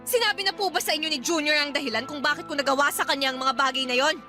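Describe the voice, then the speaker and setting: A woman's voice: high voice, rapid-fire, music in the background, lightly acted. A woman playing an aggrieved, defiant young wife, protesting and exasperated, in a Filipino radio drama with a Filipino accent. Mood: defensive, exasperated.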